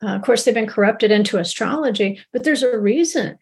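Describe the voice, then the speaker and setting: A woman's voice: fairly high pitch, fairly quick, darkish tone, breathy edge. A middle-aged woman teaching a Bible lesson in a conversational way, often restarting her sentences.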